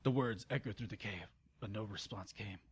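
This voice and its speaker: A man's voice: lowish voice, moderate pace, breathy edge. A man narrating an amateur dramatic story reading, informal and stumbling, with exasperated, sarcastic asides. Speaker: narrator and channel host.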